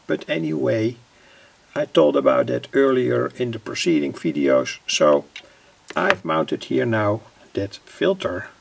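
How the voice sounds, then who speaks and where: A man from the Netherlands with a Dutch accent, explaining electronics informally in halting, deliberate phrases. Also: mid-range voice, animated, a little hiss or hum. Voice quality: gravelly, husky. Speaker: electronics hobbyist, vlogger. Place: indoors at a home electronics workbench.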